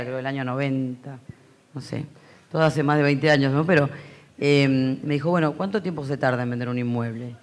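A man with an Argentine accent speaking as a panelist at a conference, reflective and anecdotal, pausing often with fillers. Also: medium pitch. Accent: Argentine Spanish. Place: large conference hall stage.

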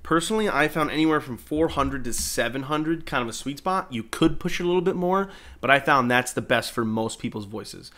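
A man's voice: mid-range voice, fairly quick, hardly any pauses, a bit bright. A man narrating a video editing tutorial in an informal, explanatory way. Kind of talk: casual screen-recorded tutorial narration. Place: indoors, voice-over at a computer.

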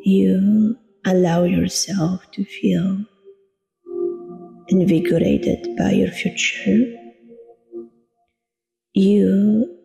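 A woman guiding a meditation in a soothing, deliberate manner, leaving long pauses between short phrases. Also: mid-range voice, expressive, vocal fry, mellow. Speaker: meditation guide, coach. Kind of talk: guided meditation narration. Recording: long reverb with music behind. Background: meditation music.

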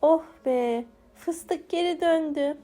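Woman plays dreamy, puzzled storybook rabbit in glasses, high and soft-toned. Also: slow pace. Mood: relieved. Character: bespectacled, absent-minded rabbit neighbour.

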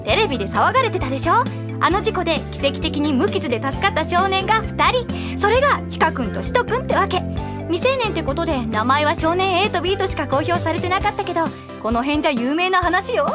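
Bossy, chatty schoolgirl for anime audio drama; very high, lively pitch swings. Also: brisk, music in the background. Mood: gossipy, excited. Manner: scolding, pushy, chatty. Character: bossy, gossipy, excitable high school girl.

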